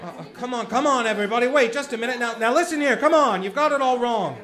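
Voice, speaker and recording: A man's voice: extremely high pitch, fast talker, a bit bright. A man playing an earnest young loan-office manager pleading with a panicked crowd in a community radio play.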